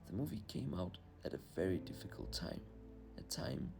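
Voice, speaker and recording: A man reading a scripted film review voice-over in a grave, measured way. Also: deep voice, vocal fry.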